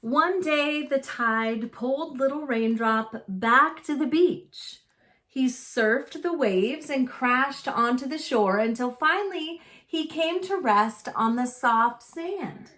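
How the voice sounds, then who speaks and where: A woman with a fairly high voice reads a picture book aloud for young children. Her delivery is very unhurried and deliberate, with simple phrasing that suits a storytime narration. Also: fairly bright tone.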